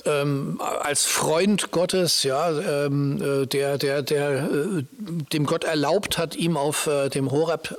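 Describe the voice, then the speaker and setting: A man talking casually and informally about Bible stories, often searching for his words as he explains. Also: fairly high pitch. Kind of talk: casual conversational Bible discussion.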